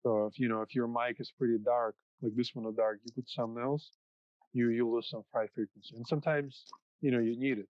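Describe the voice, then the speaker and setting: A man who grew up in the US after moving from the Soviet Union, a music producer, explaining sound technicalities in a halting way, close to a studio mic. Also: mid-range voice, fairly even intonation, no room sound. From Soviet Union, raised in the US. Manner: halting, frequent restarts while explaining. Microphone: dynamic studio mic up close. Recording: dull, dry podcast recording.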